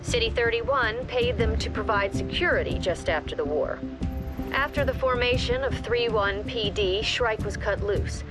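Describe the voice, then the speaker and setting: An actress playing a mission briefing officer in a game, giving orders in a crisp, clipped tone over soft music. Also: female voice, fairly high pitch, moderate pace, close to an everyday voice. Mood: calm. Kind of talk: game cutscene voice acting.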